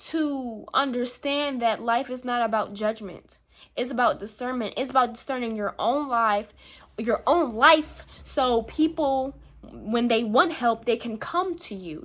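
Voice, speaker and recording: Casual rambling woman, slightly high voice. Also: fairly bright tone. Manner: rambling, repeats herself, frequent "you know what I'm saying"; candid, casual swearing. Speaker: spiritual vlogger. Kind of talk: casual spiritual monologue to camera.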